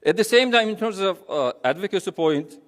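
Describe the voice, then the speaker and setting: A man's voice: sits high, lively intonation. Turkish-accented man speaking in an echoey hall. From Turkey. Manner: halting, rambling, repeats phrases. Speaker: humanitarian charity representative speaking. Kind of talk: informal public speech. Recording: echoey hall with long reverb.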